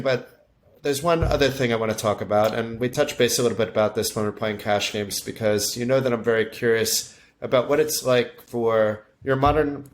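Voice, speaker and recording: Man, interview host, relaxed questions, lowish voice. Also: slight background noise. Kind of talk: casual interview chat.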